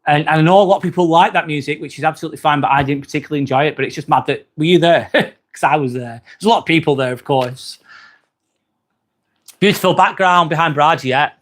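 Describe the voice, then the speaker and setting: A man's voice: on the high side, fast. A man with an accent from near Manchester, hosting a casual livestream and reading out viewer comments in a chatty, friendly way. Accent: northern English, near Manchester. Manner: chatty, friendly, frequent "um" fillers. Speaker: livestream host.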